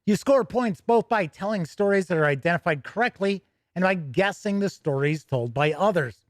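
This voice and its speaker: A man with a notably high-pitched voice hosts a casual tabletop gaming stream. He talks conversationally, correcting himself and adding small asides as he goes. The sound is very dry and close, with no sense of the room. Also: expressive, normal speed, dry recording. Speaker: host of a tabletop gaming news show. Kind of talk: casual livestream chat and news reading.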